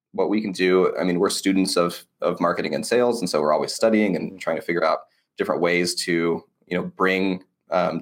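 Man, low register, rapid delivery; earnest, conversational interviewee explaining his business. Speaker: marketing agency owner being interviewed. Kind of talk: casual interview talk.

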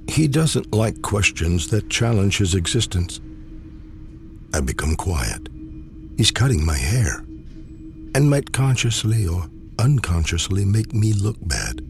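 Slow, gravelly low-voiced man reciting poetry. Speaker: poetry reader. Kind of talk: literary poem recitation.

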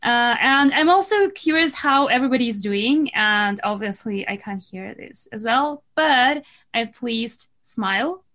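A woman's voice: on the high side, lively intonation, a little slow, a bit bright. A woman from Belarus, a guest on a podcast, chatting cheerfully and laughing easily. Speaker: podcast guest, SEO business founder. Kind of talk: casual podcast chat.

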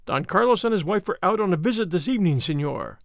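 A man's voice: high voice, expressive, fast talker, gravelly, dry recording, clean studio sound. A man voicing a deferential, nervous household servant in an audiobook, hesitant and apologetic as he speaks.

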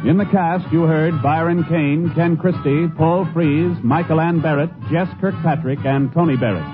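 A male announcer reading scripted radio lines in a formal, measured way. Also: a little high. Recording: tinny old radio broadcast with music.

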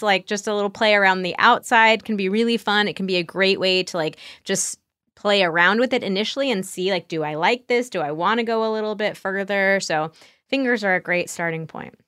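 A woman's voice: medium pitch, fairly bright tone, smooth. Frank woman explaining as podcast guest. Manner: frank, encouraging. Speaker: sex therapist, podcast guest. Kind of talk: conversational explaining.

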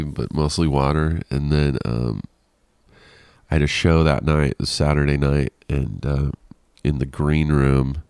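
A man's voice: extremely low pitch, creaky, a little mellow, smooth, no echo, clean studio sound. A man hosting a casual solo podcast, rambling and self-deprecating with frequent laughs, sounding congested and nasal. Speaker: podcast host.

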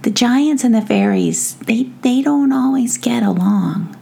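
A woman's voice: a little high, a little slow, vocal fry, dark tone, husky, pitched above the performer's own voice, heavily acted. A woman voicing a small, earnest and proud young boy gnome in a children's story, with a hesitant manner. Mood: cautious, worried.

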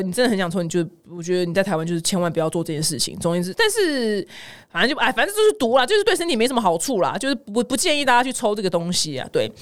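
A woman podcast host with a Taiwanese Mandarin accent and a very lively, swooping delivery. She chats casually and comically, leaning into dramatic exaggeration. The sound is dry and close, with no room to it. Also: medium pitch, dry recording. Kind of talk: casual comedic news chat.

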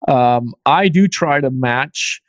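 A man's voice: medium pitch, slow, dry recording. A man hosting an interview, asking casual questions and often repeating words as he starts a thought. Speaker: podcast host.

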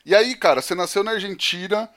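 A man's voice: high voice, quick pace, a bit bright. A man with a Brazilian accent hosting a podcast, chatting casually and informally with slang as he asks questions. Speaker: podcast host, interviewer. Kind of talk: casual chat.

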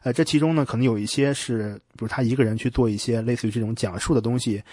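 A man's voice: medium pitch, fairly even intonation, fast, mellow, dry recording. A man hosting a podcast in a casual, conversational style, often hesitating and rephrasing as he goes. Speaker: podcast host.

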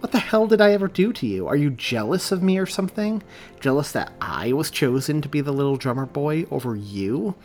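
A man's voice: medium pitch, huge pitch swings, a little fast, creaky, a bit bright, exaggerated voice. A man voicing a meek but defiant young boy who is a drummer, speaking in heated retorts. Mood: angry, defiant. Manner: rising, heated confrontation.

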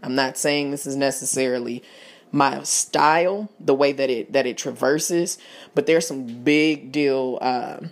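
A podcast host with a husky, worn-out voice chatting through an album review, laughing often and talking straight to listeners. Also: medium pitch, measured pace.

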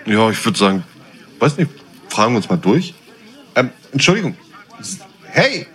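A man's voice: mid-range voice, very animated, moderate pace, a bit bright, low-quality recording. A man playing a laid-back, slightly self-important travelling buddy in a comedy audio drama, chatty and casual.

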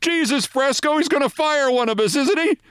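A man voicing a panicking, high-strung baseball executive who shouts frantically in a story. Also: moderate pace, no room sound, studio quality. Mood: frantic anger. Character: panicking, high-strung baseball general manager, near hysterical.

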